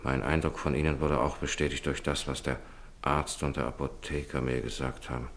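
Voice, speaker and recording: Very deep, solemn parish priest. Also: male voice, level tone. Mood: solemn, consoling. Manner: grave, measured. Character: solemn, kindly parish priest offering consolation. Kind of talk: 1950s German radio drama acting.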